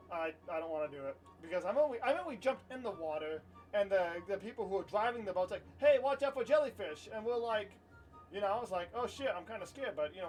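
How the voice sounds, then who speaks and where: A man's voice: sits high, far from the mic, low-quality recording. A man telling a personal story casually to camera in an informal, rambling way, repeating himself and swearing now and then. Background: light music.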